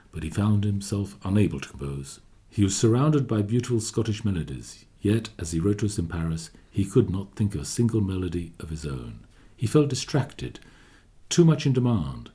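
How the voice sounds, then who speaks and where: An actor playing a friend of a composer, narrating his life in a measured, literary radio-play style. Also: fairly low pitch, dark tone.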